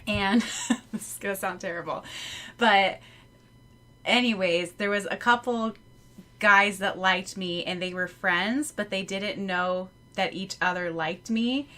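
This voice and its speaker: A woman with a bright, forward voice co-hosting a podcast from home. She tells animated stories, giggling often and dropping plenty of "like". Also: medium pitch, a little slow. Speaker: podcast co-host. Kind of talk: casual chat.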